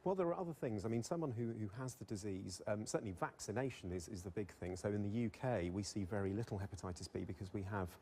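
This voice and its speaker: A man's voice: fairly low pitch, fast, hardly any pauses, warm tone, slight background noise. A man, a family doctor and health presenter, giving structured expert explanations in an interview.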